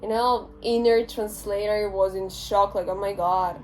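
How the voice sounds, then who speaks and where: An eighteen-year-old Ukrainian woman with a Ukrainian accent, answering interview questions in a talkative, animated way, often restarting her sentences. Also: medium pitch, unhurried, slight background noise. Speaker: interviewee, English teacher.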